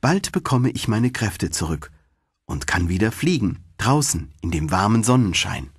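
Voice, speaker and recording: A man reading a storybook voices a gentle, grateful female swallow, tender and earnest. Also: fairly low pitch, sing-song, moderate pace, husky, no room sound, clean studio sound, full character voice. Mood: weak, grateful. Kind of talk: storybook narration.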